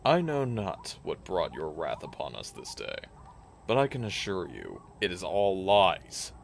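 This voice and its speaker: A man's voice: low voice, huge pitch swings, unhurried, exaggerated voice. A man playing a dramatic, wry werewolf packmate in a theatrical, incredulous manner for a fantasy audio drama. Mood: stunned, formal. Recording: clear background noise and ambience.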